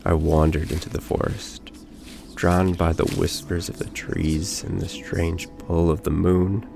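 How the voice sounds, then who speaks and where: A man voicing a condemned young man cursed as a werewolf in a storybook tale, bitter and resigned, confessing gravely. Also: sits low, exaggerated voice.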